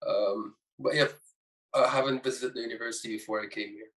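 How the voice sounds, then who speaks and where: A man's voice: medium pitch, fairly even intonation, brisk, fairly bright tone, far from the mic, poor audio. A young male student from Egypt casually sharing his own experience. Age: young adult. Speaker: student panelist. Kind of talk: casual chat.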